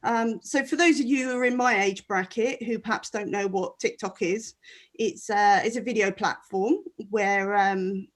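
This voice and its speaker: A woman's voice: mid-range voice, steady tone, moderate pace. A middle-aged woman doing a stand-up set with self-deprecating, wry delivery. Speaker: stand-up comedian.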